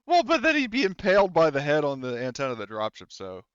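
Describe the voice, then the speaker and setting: Halting male game master, clear smooth voice. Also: fairly high pitch, wildly swooping pitch, dry recording, lo-fi sound. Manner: halting, rambling explanations. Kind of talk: casual recap and banter in a tabletop roleplaying game.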